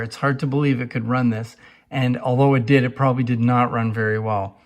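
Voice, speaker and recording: A man giving an informal, unscripted demonstration as a collector, explaining things in a plain-spoken way to viewers. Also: medium pitch. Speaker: antique phonograph collector and presenter. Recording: echoey, roomy sound.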